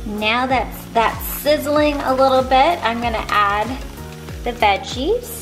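A woman's voice: sits high, takes it slowly. A woman in her thirties chatting casually in an upbeat, homey way while she cooks in her home kitchen, filmed by a camera on the counter. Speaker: fitness vlogger. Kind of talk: casual cooking vlog. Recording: echoey kitchen with background music.

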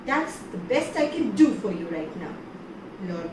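A woman's voice: lowish voice, big pitch swings, measured pace, pitched below the performer's own voice. A woman voicing an angry elephant-headed male god who speaks in stern, commanding tones. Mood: grudging, measured. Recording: echoey, distant, noisy.

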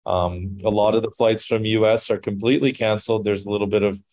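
A man hosting a podcast over a video call, talking in a relaxed, conversational way. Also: lowish voice, fast talker, no room sound. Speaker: podcast host. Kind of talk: casual chat.

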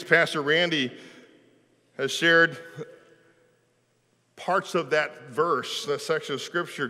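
A middle-aged pastor, preaching an animated, folksy sermon from a pulpit in a large church sanctuary. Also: male voice, medium pitch. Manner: animated preaching, folksy with "y'all", gestures while speaking. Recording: long church reverb.

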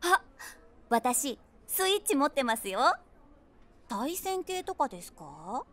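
A woman's voice: high voice, very animated, slow pace, a bit bright, exaggerated voice. An actress playing an eager, cutesy young woman on a date in a comedy audio drama, speaking politely. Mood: eager, probing.

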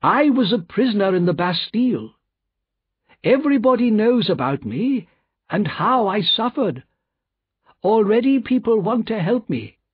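A man voicing a calm, assured doctor who was once a prisoner, earnest and measured, in a storybook reading. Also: sits high, slightly rough, dry recording, phone-quality sound, pitched above the performer's own voice, exaggerated voice.

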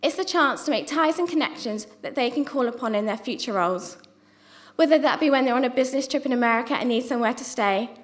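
Young woman giving a prepared speech, high voice. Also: fast. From not from New Zealand. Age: young adult. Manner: earnest, with small restarts on words. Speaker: student presenter. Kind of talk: prepared speech read from notes. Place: university lecture theatre. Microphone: microphone on a stand.

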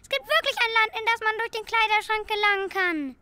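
An actress playing an earnest, excitable little girl in a German audio drama. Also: very high-pitched, fairly quick. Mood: excited. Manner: excitable, insistent.

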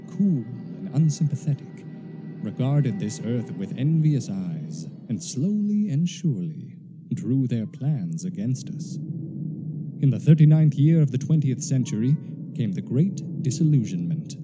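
Solemn radio drama narrator, sweeping pitch. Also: male voice. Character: grave, ominous male narrator of an alien invasion.

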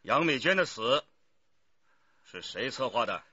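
An actor playing a shrewd, commanding police detective chief in a radio drama, giving curt, authoritative orders. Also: male voice, medium pitch, sing-song, a little slow, pushed and bright, breathy edge, no room sound, dull audio, full character voice. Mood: stern.

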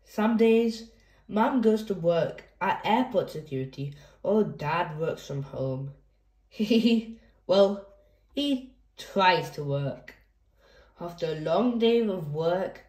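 A woman's voice: on the low side, lively intonation, slow pace, warm tone, reverberant. A woman hosting a children's read-aloud, reading a picture book expressively for young listeners.

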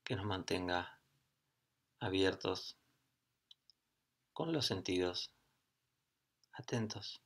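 A middle-aged man leads a guided meditation in a fairly low voice. He speaks calmly, in short phrases, and leaves long pauses between them. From Argentina. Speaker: yoga and meditation teacher.

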